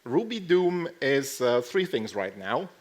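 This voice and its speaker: A man speaking casually at a conference as he gives a short tech talk, with dry, deadpan joking asides. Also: mid-range voice, slow. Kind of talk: casual lightning tech talk with live demo. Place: live talk to a conference audience.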